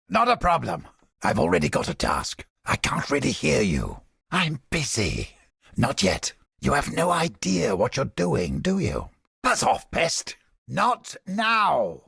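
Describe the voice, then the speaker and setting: An actor playing a cynical, haughty old scholar of the mind in a video game, with a raspy, gravelly voice and a pompous, irritable manner. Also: male voice, fairly high pitch, bright, forceful tone, no echo, studio quality, full character voice. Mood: irritable, dismissive. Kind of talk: dramatic video game voice acting.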